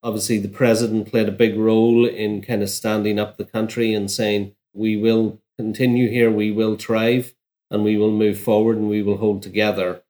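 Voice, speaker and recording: Male journalist interviewee, lowish voice, measured and analytical, speaking off the cuff. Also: flat delivery, dark tone. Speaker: journalist, newspaper bureau chief. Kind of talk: unscripted interview commentary.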